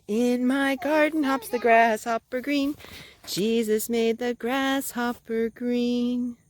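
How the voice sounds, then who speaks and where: A woman's voice: on the high side, fairly even intonation, slow. A woman, a mother, doing a children's storytime, reading aloud and chatting with questions for the young listeners. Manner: asks the child questions and repeats answers back. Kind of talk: children's read-aloud storytime with chat and song.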